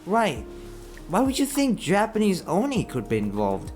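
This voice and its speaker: A man's voice: sits high, wildly swooping pitch, moderate pace, a little mellow, soft background music. A man playing a polite, earnest young fox-spirit detective's partner in an audio roleplay drama, speaking carefully.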